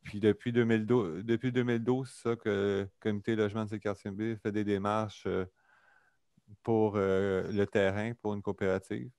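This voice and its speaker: Québécois man, low steady voice, smooth and dry, earnest delivery with hesitant fillers, on a remote call. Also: moderate pace, no room sound. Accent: Québécois French. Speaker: public hearing participant. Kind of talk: formal public statement. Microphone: video or phone call.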